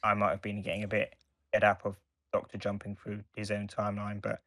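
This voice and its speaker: Low-pitched man chatting on a podcast, dry sound. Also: completely flat delivery, a little fast, a bit bright, no room sound. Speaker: podcast co-host and reviewer. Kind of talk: casual review chat.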